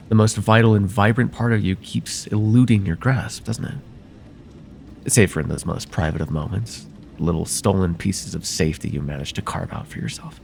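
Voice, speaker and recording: A man playing a soothing, quietly possessive vampire therapist in an ASMR roleplay, soft-spoken and deliberate, with formal wording and hypnotic pauses. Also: fairly low pitch, creaky, slight background noise. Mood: probing, intimate. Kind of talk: ASMR roleplay, slow intimate monologue.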